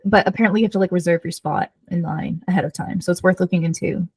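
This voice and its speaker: A woman's voice: lowish voice, steady tone, fast talker, a little mellow, slightly rough, no echo. A woman co-hosting a casual book podcast, talking informally with plenty of conversational "like". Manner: informal, conversational, heavy use of "like". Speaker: podcast co-host. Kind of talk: casual book chat between friends.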